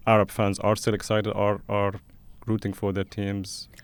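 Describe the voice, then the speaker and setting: A man hosting a conversational interview, speaking in a thoughtful, halting way as he frames questions. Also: lowish voice, completely flat delivery, clean tone. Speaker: podcast host and interviewer.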